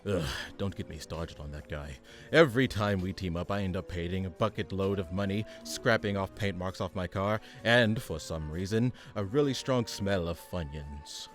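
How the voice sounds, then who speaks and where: A man's voice: deep voice, moderate pace, few pauses, soft background music, close to an everyday voice. A man playing a weary, pompous supervillain mastermind running a meeting in a comedic radio play, businesslike and dryly exasperated.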